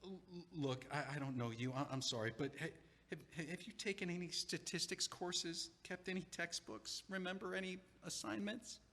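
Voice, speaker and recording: An actor playing a heartbroken young man who was just left, talking in a halting, stammering, dazed way in an audio drama. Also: sits high, bright, forceful tone, in a large hall, full character voice.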